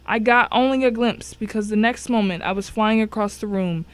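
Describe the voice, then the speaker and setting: A woman's voice: mid-range voice, moderate pace, no echo. A woman reading a scripted first-person thriller as narrator, telling it with drama and suspense. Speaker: story narrator.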